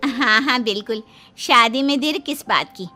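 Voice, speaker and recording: A woman playing a middle-aged mother-in-law in charge of a big cartoon family, measured and authoritative. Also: fairly high pitch, over music, lightly acted. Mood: agreeable. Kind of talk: Hindi cartoon dialogue.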